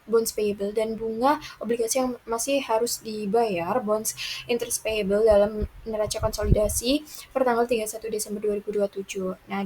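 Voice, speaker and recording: A young woman giving a student lesson presentation in a halting way, with broken-off words and restarts. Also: on the high side, a little mellow, breathy edge, low-quality recording. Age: young adult. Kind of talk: lesson presentation, working through accounting problems. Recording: noticeable background noise.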